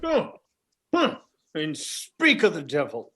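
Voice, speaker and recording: Man acting a blustery old seafaring father, high-pitched. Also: huge pitch swings, slow pace, sharp tone, exaggerated voice. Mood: resigned, proud. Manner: exclamatory, gruff grumbling. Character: blustery old New England sea father, grumbling but proud. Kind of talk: old-time radio play acting.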